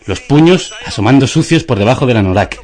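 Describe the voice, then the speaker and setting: An actor playing a deadpan, pedantic philosopher of the absurd in a radio theatre dialogue. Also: male voice, medium pitch, brisk, close to an everyday voice. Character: deadpan absurd philosopher musing over news headlines.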